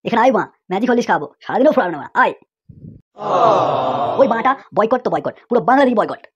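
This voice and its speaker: Husky, angry cartoon loudmouth Futo, acted by a woman. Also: a little high, expressive, fast talker, highs cut off. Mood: angry ranting. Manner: ranting, punctuates speech with slangy tags. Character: angry, swaggering cartoon man Futo, ranting loudly. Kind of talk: comic cartoon dub.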